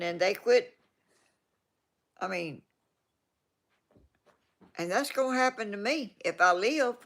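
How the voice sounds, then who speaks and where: A woman's voice: on the low side, creaky, fairly bright tone. A woman in her eighties with a Georgia Southern accent, chatting in a rambling way, trailing off and restarting as she reads comments to her viewers. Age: 80s. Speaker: vlogger reading viewer comments. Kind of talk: casual rambling chat.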